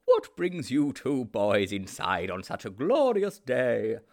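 Guy doing a genial beaming old headmaster, hearty, big pitch swings. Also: wildly swooping pitch, slow, a little mellow, full character voice.

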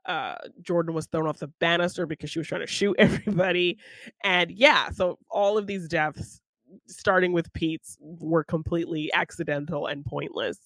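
A woman's voice: fairly low pitch, normal speed, a bit bright, no room sound. A woman co-hosting a podcast, casually retelling a film in an informal, conversational way. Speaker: podcast co-host.

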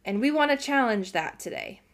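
A young adult woman hosting a self-help podcast, earnest and encouraging, her voice slightly hoarse from a cold. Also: a little high, very animated, brisk. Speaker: podcast host.

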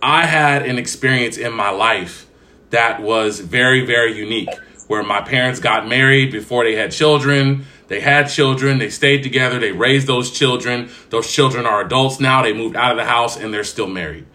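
A young man co-hosting a casual chat, talking in long, measured, reasoned turns. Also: mid-range voice, bright, forceful tone. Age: young adult. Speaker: podcast co-host.

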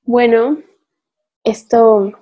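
A woman's voice: fairly high pitch, steady tone, extremely slow, dull audio. A young woman, co-hosting a podcast and rambling through a casual philosophical chat, thinking aloud with many fillers. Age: young adult. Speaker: podcast co-host.

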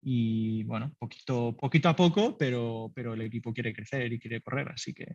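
A man's voice: mid-range voice, moderate pace, dry recording. Brief: male founder, casual and filler-heavy, dry muffled recording. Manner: loose and conversational, many fillers, laughs lightly. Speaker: startup founder. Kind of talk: business interview answers.

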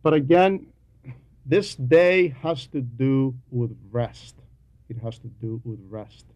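A man delivering a teaching sermon in a deliberate, emphatic way, repeating key phrases for weight. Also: medium pitch, a little mellow, dry recording. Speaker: homilist reading a translated homily.

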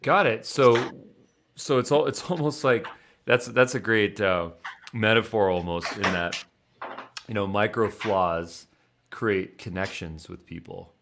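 Deep-voiced man hosting an interview. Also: measured pace, creaky, noisy background. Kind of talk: conversational interview.

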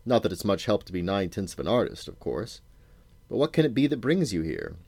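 A man's voice: fairly low pitch, very animated, a little fast, vocal fry, a little mellow, dry recording, full character voice. A man voicing a genial but condescending old wizard in a storybook, with a silky, purring, mock-affectionate manner.

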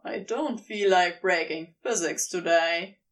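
A woman's voice: lowish voice, slow pace, recorded from a distance, pitched below the performer's own voice. A woman voicing a giant male serpent, a mischievous and self-assured lover, in a teasing audiobook character voice. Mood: reassuring, teasing.

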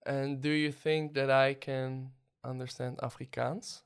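A man's voice: medium pitch, little pitch movement, measured pace, smooth. A man with a Dutch accent hosting an interview, asking questions with hesitant restarts and ums. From Netherlands. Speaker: podcast host. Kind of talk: interview conversation.

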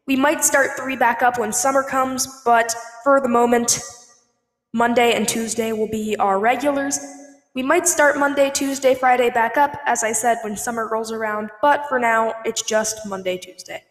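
Echoey, high-voiced woman podcast host. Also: steady tone, normal speed. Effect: voice filter with reverb. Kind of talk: scripted-feeling podcast announcement to listeners. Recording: echoey, long reverb.

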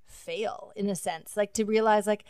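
A woman's voice: mid-range voice, fairly even intonation, unhurried, darkish tone, smooth, no room sound, clean studio sound. A woman hosting a podcast, talking in a reflective, earnest way with fillers. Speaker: podcast host. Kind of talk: casual conversation.

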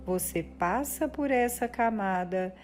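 Brazilian female meditation guide; soothing and relaxed delivery, slow phrases with deliberate pauses. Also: medium pitch. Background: music.

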